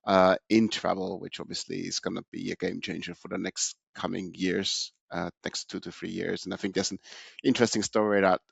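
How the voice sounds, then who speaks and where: A middle-aged man from Austria speaks in a low, gravelly voice, recounting his career in a relaxed, unhurried way. He often restarts phrases and fills pauses. The sound is dry and close, with no room echo. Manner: relaxed, many restarts and fillers. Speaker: podcast guest. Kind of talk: casual interview storytelling.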